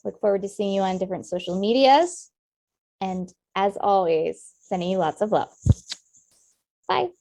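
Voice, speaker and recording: A woman's voice: medium pitch, animated, moderate pace, gravelly, long pauses. A middle-aged woman, a business owner, giving personal, talkative answers in an interview. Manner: personal, talkative, warm-hearted.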